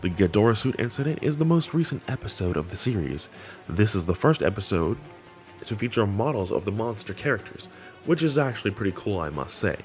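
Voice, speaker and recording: A man's voice: on the low side, big pitch swings, fairly quick, a little mellow. A man giving casual, enthusiastic commentary on a horror web series, with slangy wording. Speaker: video host. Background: background music.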